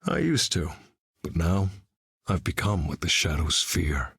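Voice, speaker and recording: An actor playing a grim, battle-hardened vigilante leader, dry and deadpan, in video game dialogue. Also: male voice, sits low, measured pace, slightly rough, no room sound, full character voice. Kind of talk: video game character voice lines.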